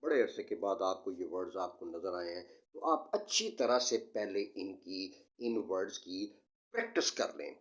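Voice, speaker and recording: A man giving an explanatory lesson as an instructor, deliberate, emphatic and repetitive in his delivery. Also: sits low, expressive. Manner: didactic, deliberate, emphatic and repetitive, slowing down for English sentences. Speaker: English test instructor. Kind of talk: explanatory lesson mixing Urdu and English. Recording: dull, muffled lo-fi audio.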